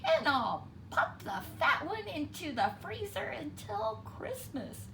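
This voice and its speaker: A woman's voice: high voice, very animated, slow, creaky, a bit bright, a little hiss or hum, voice pushed up, full character voice. A woman voicing a greedy, sly male fox villain from a storybook, gloating and scheming. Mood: gleeful. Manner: gloating, scheming, chuckling over victims.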